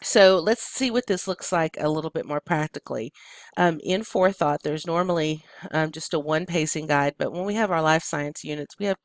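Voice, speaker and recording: A presenter walks teachers through a plan with a gravelly, fry-laden voice made froggy by allergies. The talk keeps stalling on ums and uhs. The sound is dry and close, with no room around it. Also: medium pitch, normal speed, no echo. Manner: hesitant, many ums and uhs. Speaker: science curriculum presenter for teachers. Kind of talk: recorded curriculum walkthrough.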